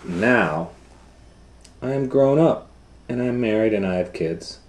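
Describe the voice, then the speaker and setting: A man reading a children's book aloud as a storytime educator, in simple, deliberate phrases with explanatory asides. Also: lowish voice, animated, a little hiss or hum.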